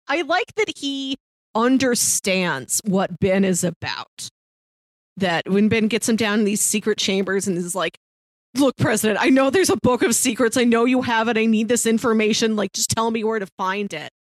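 A woman's voice: fairly high pitch, normal speed, no room sound. A woman co-hosting a podcast, chatting casually about films with playful, fannish enthusiasm. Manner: enthusiastic, playful fan talk. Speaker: podcast co-host. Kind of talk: casual film discussion chat.

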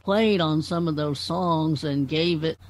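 High-pitched man chatting informatively. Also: a little slow. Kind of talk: casual informative group chat.

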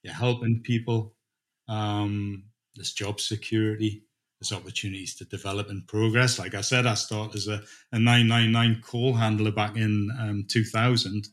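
A middle-aged man answers interview questions informally. He speaks haltingly, thinking aloud as he explains. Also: on the low side, little pitch movement, a bit bright. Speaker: dispatch manager being interviewed.

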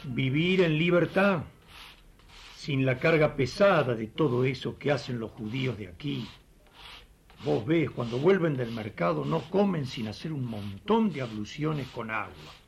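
Steadfast, lively-voiced Argentine potter. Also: male voice, mid-range voice, slight background noise. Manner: earnest, firm. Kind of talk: radio theatre acting.

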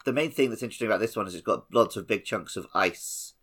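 Lower-voiced man in casual podcast chat. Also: fast talker, fairly bright tone, husky. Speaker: podcast co-host.

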